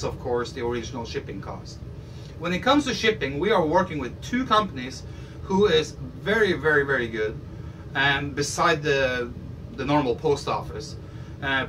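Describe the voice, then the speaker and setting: A man's voice: fairly high pitch, bright, forceful tone. A middle-aged man explaining his shop's shipping service to camera, rambling with frequent restarts, in a room full of audio gear. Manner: rambling, restarts often, gestures while talking. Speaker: audio shop owner. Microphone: camera across the room. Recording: distant mic with faint room noise.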